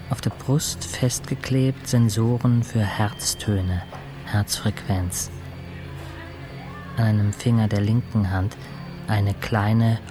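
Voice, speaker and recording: A man playing an intensive-care patient in a radio drama, soberly reporting his own state in measured, clinical, clipped sentences. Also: fairly low pitch. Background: music.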